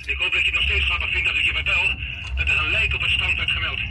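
An actor playing a curt, sarcastic police sergeant in a radio drama, heard over a two-way radio. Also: male voice, high voice, fairly even intonation, fast. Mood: sardonic. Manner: curt, sardonic. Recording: loud static on police radio.

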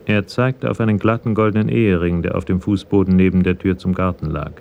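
A man's voice: low voice, steady tone, quick pace, creaky, dull audio, a little hiss or hum. A man narrating a scripted radio crime drama in a matter-of-fact manner.

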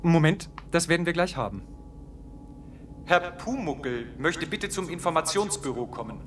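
An actor playing a brisk, bemused ship's official in a 1970s children's radio play. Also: male voice, on the high side, expressive, slight background noise, lightly acted.

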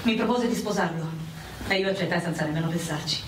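An actress playing a proud, worldly wartime prostitute in a radio drama, brash and confiding in a theatrical way. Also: female voice, lowish voice, fast talker, close to an everyday voice. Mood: bitter. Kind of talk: dramatic radio play dialogue. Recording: roomy, distant mic with loud background noise.

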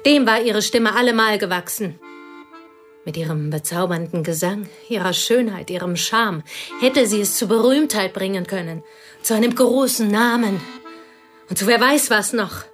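An actress playing a plain, devoted woman who confesses intimately to a pianist in a radio play, her voice husky and often whispered. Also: medium pitch, moderate pace, faint music behind, natural voice. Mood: intense. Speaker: confiding companion.

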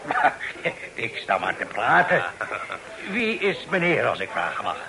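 Wry, talkative old-time sea captain. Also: male voice, mid-range voice, very animated, unhurried, gravelly, crowd noise. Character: garrulous wry male sea captain full of sayings. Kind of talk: radio drama acting.

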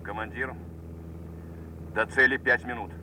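A man's voice: mid-range voice, fairly even intonation, a little slow, close to an everyday voice. An actor playing a keen, alert bomber navigator in a radio war drama, giving clipped military reports to his commander. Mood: focused. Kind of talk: Soviet radio drama acting. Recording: noisy aircraft cabin sound effects. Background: loud engine drone.

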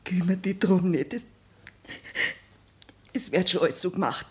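An actress playing a worried, gentle peasant wife in a 1960s radio play, soft and anxious. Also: female voice, on the low side, unhurried, breathy edge. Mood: tender. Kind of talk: 1960s radio drama acting.

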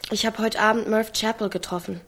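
An actress playing a stubborn, teasing teenage daughter in a radio drama, speaking in a blunt, laconic way. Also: female voice, medium pitch, fairly even intonation, a little fast, a bit bright. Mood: frank, curious. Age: young adult. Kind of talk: naturalistic radio drama acting.

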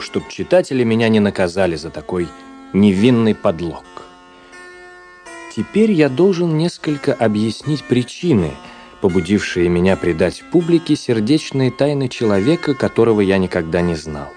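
An actor playing a reflective, ironic gentleman narrator in a radio play, speaking in a measured literary manner. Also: medium pitch, natural voice. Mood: wry, candid. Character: male narrator-editor publishing a dead officer's journal, reflective, ironic.

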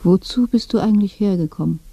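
An actress in a radio drama playing a weary, bitter old dead woman in a shared grave, speaking in a hushed voice. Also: medium pitch, fast, no room sound, close to an everyday voice, rough recording. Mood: dry, indifferent. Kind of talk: German radio drama, intimate monologue.

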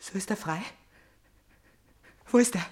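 An actress playing an embittered, degraded princess in a German radio tragedy, declaiming with impassioned theatrical delivery in standard stage German. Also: female voice, mid-range voice, measured pace, a little mellow, lightly acted. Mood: desperate, pleading. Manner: declamatory, impassioned, with bitter outbursts and whispers.

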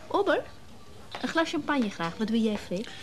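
A woman's voice: a little high, sing-song, normal speed, faint music behind. An actress playing a smitten, forward married woman, coaxing and breezy, in a radio drama. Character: smitten, forward, well-heeled married woman pursuing an older man.

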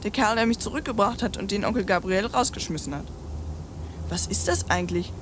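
A woman's voice: medium pitch, brisk, background noise, lightly acted. Woman playing a cheeky, foul-mouthed little girl. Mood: nosy, blunt. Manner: defiant, brash, precocious. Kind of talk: satirical radio play acting.

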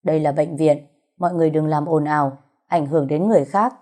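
A woman voicing a stern, businesslike hospital doctor giving brisk instructions in an audio story. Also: low voice, darkish tone, close to an everyday voice.

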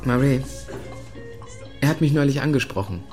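A man's voice: mid-range voice, normal speed, a little mellow, faint music behind, close to an everyday voice. An actor playing an understated, hesitant eccentric radio presenter in his thirties, in a German radio drama. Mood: careful, apologetic. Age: middle-aged.

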